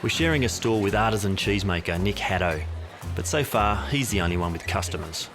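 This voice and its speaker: A man narrating a documentary in a measured, wry storytelling manner. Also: fairly low pitch, expressive. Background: music bed.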